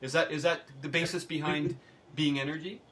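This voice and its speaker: A man interviewing conversationally, thoughtful and halting as he searches for his words. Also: fairly high pitch, fairly even intonation, sharp tone.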